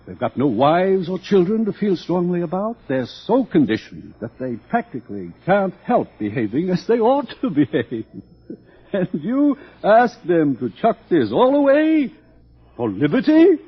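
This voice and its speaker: A man's voice: high voice, very animated, a little slow, breathy edge, exaggerated voice. A man playing an urbane, smug older world controller in a theatrical radio drama, pompous and patronizing as he lectures. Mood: amused, condescending. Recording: dull, lo-fi radio drama recording.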